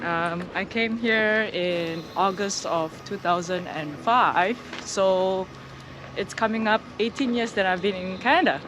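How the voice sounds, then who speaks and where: A woman's voice: medium pitch, measured pace, noisy background. A young adult woman from Indonesia, a guest in a casual interview, speaking hesitantly with many fillers and restarts.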